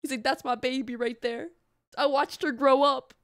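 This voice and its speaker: A woman's voice: sits high, fairly even intonation, moderate pace, fairly bright tone. A woman streamer giving casual, gushing, cooing reactions to a game. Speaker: live streamer. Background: game music.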